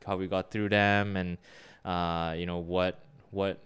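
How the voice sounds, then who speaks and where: A man's voice: deep voice, flat delivery, a little slow, fairly bright tone, clear, resonant tone. A young man from Singapore co-hosting a casual podcast, talking with many fillers and restarts. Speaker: podcast co-host.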